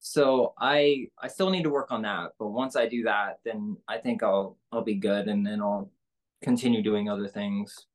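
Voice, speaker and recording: A woman singer with a low speaking voice, talking candidly and loosely with many fillers in an interview. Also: fairly even intonation, moderate pace, a little mellow. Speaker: singer-songwriter guest. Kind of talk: casual interview conversation.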